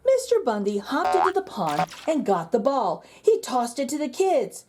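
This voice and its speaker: A woman reading a children's picture book aloud in an expressive, sing-song storytelling manner. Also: sits high, moderate pace, noisy background. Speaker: children's book reader, channel host.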